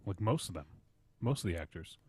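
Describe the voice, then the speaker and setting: Fast, husky, low-voiced man. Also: lively intonation, mellow, dry recording. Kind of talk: casual interjections in film talk.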